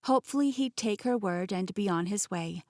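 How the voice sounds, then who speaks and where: A woman's voice: mid-range voice, moderate pace, darkish tone, no echo. Young woman narrating an audiobook, dry. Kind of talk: first-person audiobook narration.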